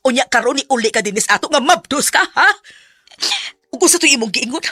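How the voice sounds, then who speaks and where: An actress with a Visayan Filipino accent playing a husky, exasperated mother in a radio drama. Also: high voice, expressive, a little fast, bright, forceful tone, no echo, exaggerated voice. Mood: scolding. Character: scolding, exasperated mother of a grown daughter. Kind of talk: Cebuano radio drama acting.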